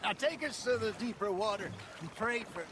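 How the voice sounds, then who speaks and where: A man's voice: sits high, lively intonation, moderate pace, background noise. A man playing a wry, grumbling old outlaw in a Western game, teasing as he talks. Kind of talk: video game cutscene acting.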